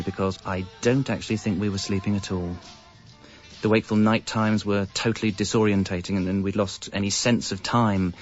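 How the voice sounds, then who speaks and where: An actor playing a wry husband and young father, narrating a comic radio monologue in a deadpan, confessional way. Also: low voice, lightly acted. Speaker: narrator and lead character. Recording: muffled, band-limited audio.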